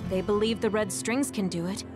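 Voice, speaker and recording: A woman voicing a calm, knowledgeable officer in a video game, explaining things precisely and with composure. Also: medium pitch, fairly quick, music in the background, lightly acted. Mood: calm, explanatory. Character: calm, knowledgeable female sci-fi squad officer. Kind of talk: video game cutscene voice acting.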